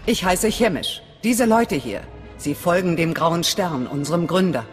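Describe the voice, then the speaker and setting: A woman's voice: fairly low pitch, expressive, unhurried. A woman playing a hard, distrustful resistance fighter in a video game, speaking in a blunt, commanding, menacing way. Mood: menacing, suspicious. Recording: long reverb, faint music behind.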